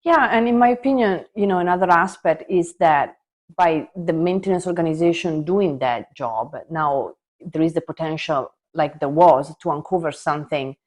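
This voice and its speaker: A woman co-hosting a conversational discussion, reasoning through points in a slightly halting, tentative way. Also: deep voice. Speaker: podcast co-host.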